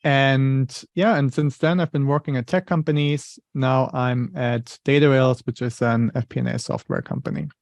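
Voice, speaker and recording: A man's voice: medium pitch, fairly even intonation, normal speed, vocal fry, hardly any pauses, no room sound. A man in his thirties from Germany with a German accent, talking as an interview guest in a thoughtful, explanatory way with many "you know" fillers. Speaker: interview guest, finance leader. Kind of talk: conversational interview.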